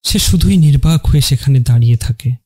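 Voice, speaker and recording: A man narrating a scripted dramatic story in measured, separate phrases. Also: medium pitch, expressive, dark tone. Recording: music under the narration.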